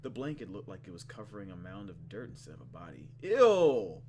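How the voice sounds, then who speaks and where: Expressive low-pitched man, swinging lively while gaming. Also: brisk, background noise. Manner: casual, expressive, swearing. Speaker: let's play host. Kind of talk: gaming commentary, reading visual novel text aloud.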